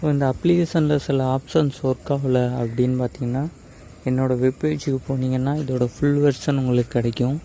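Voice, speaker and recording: Male tech tutorial host, mid-pitched and relaxed, casual step-by-step explaining with English words mixed in. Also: a little fast, creaky, slight background noise. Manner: explanatory, addresses viewers directly, mixes in English words.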